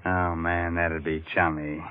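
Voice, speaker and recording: An amiable, folksy man in 1940s radio banter. His low voice is informal and a little hesitant, carried on a narrow, muffled vintage broadcast recording. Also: level tone, a little slow, clear, resonant tone. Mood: amused, musing.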